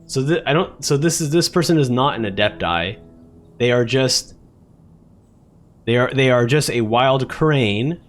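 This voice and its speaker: A man giving casual live commentary as a game streamer, thinking aloud in a hesitant, repetitive way. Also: medium pitch. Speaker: streamer reacting to a game story. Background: game music.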